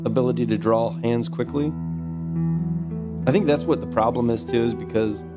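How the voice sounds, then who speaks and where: A man's voice: mid-range voice, normal speed, loud music behind the voice. A tired young man with a slightly lost voice, chatting casually on a live art stream and telling a rambling story with lots of "like". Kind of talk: casual chat.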